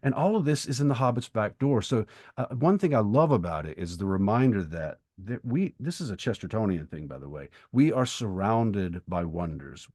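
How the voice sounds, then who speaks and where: A man co-hosting a podcast in casual literary discussion, speaking in a reflective, halting way with emphatic pauses. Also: on the low side, animated, darkish tone, no room sound, clean studio sound. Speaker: podcast co-host.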